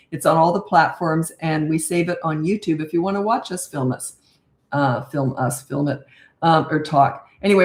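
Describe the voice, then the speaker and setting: An older woman, a parenting coach, talking blunt and chatty with a raspy voice on a live-stream Q&A. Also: lowish voice, darkish tone, roomy sound.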